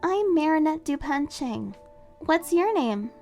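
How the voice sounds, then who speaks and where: A woman voicing a cheerful, eager teenage girl heroine who talks in a bubbly, excitable way. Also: high-pitched, unhurried, faint music behind, voice pushed up, exaggerated voice. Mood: upbeat.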